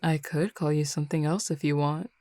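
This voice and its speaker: A woman's voice: sits low, fairly even intonation, fairly quick, mellow, no room sound, clean studio sound, lightly acted. A woman voicing a confident, flirty female werewolf in an audio roleplay, playful and teasing. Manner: playful, teasing, self-assured. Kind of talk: scripted audio roleplay acting.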